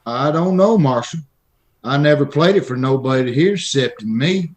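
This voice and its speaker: A man's voice: mid-range voice, expressive, normal speed, natural voice. A man playing a meek, peculiar little drifter in a radio Western, speaking in a hesitant, folksy way. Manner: hesitant, simple, folksy drawl-like phrasing. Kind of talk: old-time radio Western acting.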